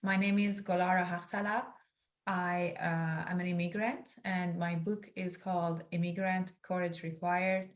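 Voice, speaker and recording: A young woman from northeast Iran, an author introducing herself and her book as a guest, earnest and grateful, speaking in quick bursts over a video call. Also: on the low side, little pitch movement. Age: young adult.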